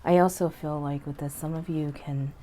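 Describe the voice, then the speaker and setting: Very low-voiced hoarse woman reading tarot. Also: normal speed. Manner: relaxed, chatty, frequent "okay?" and "you know". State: stuffy and hoarse from illness. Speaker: tarot reader. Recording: faint background noise.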